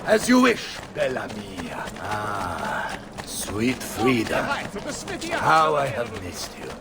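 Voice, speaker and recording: A man's voice: on the low side, wildly swooping pitch, slow pace, gravelly, full character voice. Over faint noise a middle-aged Italian-accented mercenary captain from a video game, boisterous and combative. His delivery swoops wildly and takes its time, moving between bellowing bravado and sentimental tenderness. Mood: cocky, fond. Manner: bombastic, theatrical, swinging from bellowing to tender. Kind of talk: video game cutscene acting.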